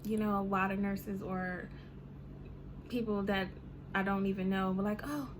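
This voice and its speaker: A woman's voice: mid-range voice, steady tone, unhurried, noisy background. A woman in her thirties ranting casually to her vlog audience, chatty and full of "you know" and "um". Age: 30s. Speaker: vlogger. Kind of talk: casual rant to camera.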